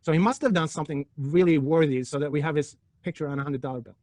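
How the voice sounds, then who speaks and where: A man from Turkey lectures a physics class at a rapid clip, his voice sitting a bit high. He jokes, provokes and pelts his students with rhetorical questions. The sound is dry, with no room echo. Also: a little mellow.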